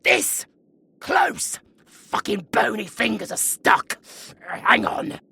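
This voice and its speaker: A man's voice: sits high, slow, vocal fry, slightly rough, exaggerated voice. A British man playing a flirty, sardonic undead necromancer villain in a theatrical audio roleplay. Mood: exasperated, bitter. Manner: theatrical, swearing, swinging between hushed murmurs and outbursts.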